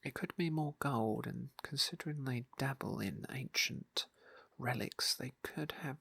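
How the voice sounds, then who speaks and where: Breathy, hushed man narrating ASMR gameplay. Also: medium pitch, a little slow, no echo. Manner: breathy, hushed, often dropping into whisper. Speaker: ASMR gaming video host and roleplayer. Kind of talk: soft-spoken ASMR roleplay game narration. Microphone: close microphone, dry.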